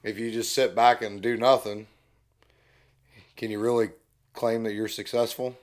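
A young man co-hosting a casual podcast, hoarse from losing his voice, easygoing and agreeable. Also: fairly low pitch, level tone, moderate pace. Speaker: podcast co-host. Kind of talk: casual chat.

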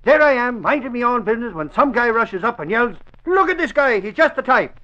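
An actor playing an excitable, self-important Irish reporter in a 1940s radio drama, blustering in folksy, ungrammatical speech. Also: male voice, very high-pitched, fairly quick, exaggerated voice. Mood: blustering, aggrieved. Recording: dull old radio broadcast recording.

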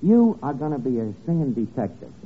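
A man's voice: mid-range voice, sing-song, a little fast, natural voice. An actor playing a bossy, slangy, scheming tavern man in old radio comedy, wisecracking and mangling his grammar. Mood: cocky, scheming. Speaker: comic lead of a radio sitcom. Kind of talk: 1940s-50s radio comedy acting. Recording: scratchy, noisy, narrow-band old radio recording.

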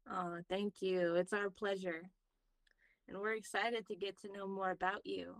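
A woman co-host speaks in an upbeat, affirming way with a smooth, resonant tone at a middle pitch. The sound is dry and picked up from some distance. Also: little pitch movement, measured pace, no echo. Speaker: podcast co-host. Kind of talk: casual chat.

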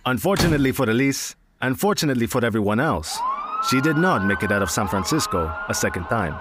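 A man's voice: medium pitch, sing-song, fast, heavy background noise. A man narrating an audio drama in a wry, matter-of-fact way. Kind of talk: scripted story narration.

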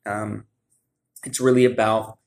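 A man's voice: lowish voice, flat delivery, creaky, a little mellow. Casual, reflective man vlogging. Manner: conversational, halting, often seeking agreement with "right?". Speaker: vlogger, writer. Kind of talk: casual reflective vlog monologue.

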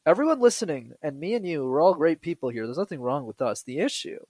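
A young man from Canada, a guest on a podcast, explaining public speaking in a lively, joking way. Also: a little high, fast talker, dry recording. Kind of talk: casual podcast interview.

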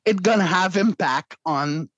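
A man, a guest vocalist, explaining extreme singing in a conversational interview, clearing his throat often between demonstrations. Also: high voice, unhurried, a bit bright, dry recording, lo-fi sound.

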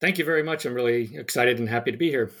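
An older man with an American accent speaks as an interview guest. His voice sits at a middling pitch. He talks conversationally in a halting way, hesitating and correcting himself often. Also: fairly bright tone. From United States, New Jersey. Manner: halting, hesitant phrasing with frequent self-corrections. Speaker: interview guest, history professor. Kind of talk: conversational biographical interview answer.

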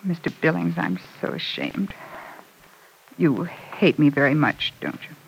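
Young wife, ashamed, anxious, pleading hesitant delivery, old-time radio drama, vintage recording. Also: female voice, low-pitched, wildly swooping pitch, unhurried, vocal fry, slight background noise, exaggerated voice, poor audio. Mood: ashamed, subdued.

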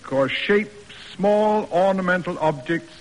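An actor playing a stiff, proper middle-aged father in a classic radio drama, speaking in measured, roundabout formal sentences. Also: sits high. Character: stiff, proper, reserved father in period New England. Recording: old radio recording, reverberant, music behind.

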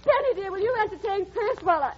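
A woman's voice: very high-pitched, animated, fast, a little hiss or hum. An actress playing a wistful, easily flustered middle-aged mother in a classic radio drama. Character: mature widowed mother, flustered, wistful and guarded. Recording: dull lo-fi old radio recording.

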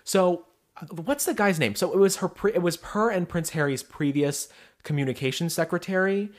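A man's voice: high-pitched, moderate pace. A man co-hosting a podcast from the Pacific Northwest, chatting animatedly about celebrity gossip with lots of "like" fillers. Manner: animated, many "like" fillers, reads excerpts aloud. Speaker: podcast co-host. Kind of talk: casual celebrity gossip chat.

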